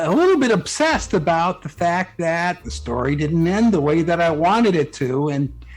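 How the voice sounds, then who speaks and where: Higher-pitched man, casual guest author. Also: husky, poor audio. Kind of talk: casual interview talk. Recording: music playing behind the voice. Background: background music.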